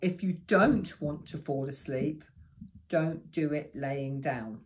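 Croaky older woman teaching meditation. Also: low-pitched, a little mellow, a little hiss or hum. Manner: chatty and practical, unhurried with drawn-out words. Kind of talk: giving tips to camera. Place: living room indoors. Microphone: camera in front of her.

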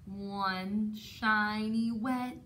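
Very slow, bright young woman storyteller. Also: mid-range voice, steady tone, slight background noise. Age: young adult. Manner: slow, dramatic, sing-song delivery for young children. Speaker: teacher reading a picture book aloud. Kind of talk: children's storytime read-aloud. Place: indoor classroom, sitting on carpeted floor. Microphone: camera a few metres away.

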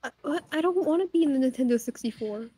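A woman's voice: sits high, animated, quick pace, warm tone, no echo. A woman voicing a panicked, bewildered little female jester in an amateur cartoon fan dub, stammering and repeating herself, foul-mouthed. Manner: stammering, repetitive, incredulous.

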